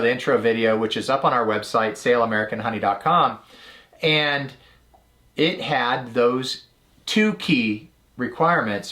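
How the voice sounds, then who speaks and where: A middle-aged man talks through a story with his co-host in an easy, unhurried way. His voice sits at an ordinary pitch, and he lifts it when he retells what someone said. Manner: relaxed, retells conversations in a livelier voice. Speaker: podcast co-host. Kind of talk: casual storytelling chat.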